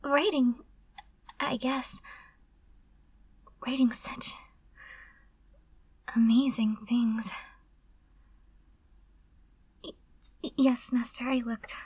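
A woman's voice: a little high, fairly even intonation, takes it slowly, pauses often, darkish tone, slightly rough, no echo. A woman playing a timid, curious, easily flustered female servant in an intimate audio roleplay, murmuring breathily and stammering. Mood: shy, tentative.

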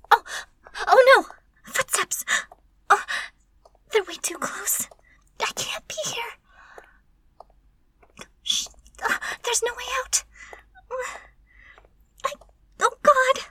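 A woman's voice: high voice, animated, takes it slowly, long pauses, a bit bright, exaggerated voice. A woman playing a timid, curious, easily flustered female servant in an intimate audio roleplay, murmuring breathily and stammering. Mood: panicked whispering.

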